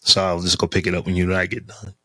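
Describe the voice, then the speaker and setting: A man with a deep, lowish voice chats casually on his podcast, rambling and circling back with fillers as he goes. He talks close to the mic, and the sound is dry and free of room echo. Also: flat delivery, fast talker, fairly bright tone. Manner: rambling, loose talk with many fillers and restarts. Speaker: podcast co-host. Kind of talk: casual chat. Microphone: close studio mic on a podcast interface.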